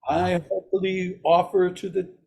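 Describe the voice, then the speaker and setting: Man, raspy, hoarse, slow intoning, Buddhist teacher, chanted practice. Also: high-pitched, little pitch movement, mellow. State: hoarse after surgery. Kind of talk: leading a chanted metta practice.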